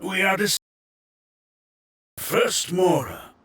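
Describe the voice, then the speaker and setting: A man's voice: sits high, a bit bright, exaggerated voice. A man playing a pompous, opinionated archaeologist in a video game, self-important and easily indignant. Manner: pompous, self-important, hesitant pauses for effect. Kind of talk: scripted video game dialogue. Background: background music.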